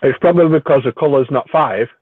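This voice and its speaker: A man's voice: mid-range voice, fast. A British man in casual group chat, blunt and teasing in a very colloquial way. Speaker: community chat participant. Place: online voice chat. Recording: tinny phone-like line, faint noise, clicks.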